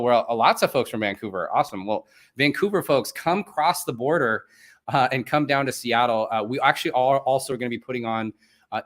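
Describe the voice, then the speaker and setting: A man's voice: medium pitch, fairly bright tone. A man from Venezuela, a native Spanish speaker, hosting a live online event in a chatty, upbeat way with many fillers. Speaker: host.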